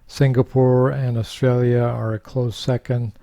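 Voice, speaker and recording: A man's voice: mid-range voice, level tone, measured pace, gravelly, mellow. An older man from Norway talking casually to camera, rambling with many fillers and sharing opinions. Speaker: vlogger.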